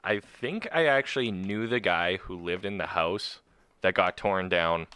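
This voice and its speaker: Deep, crisp-voiced man, dry sound. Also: measured pace, bright, forceful tone. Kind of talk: casual chat.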